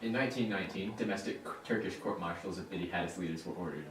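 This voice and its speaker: A man's voice: sits low, rapid-fire. A young man giving scripted interview answers as an expert in a student report. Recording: echoey, distant mic, faint noise.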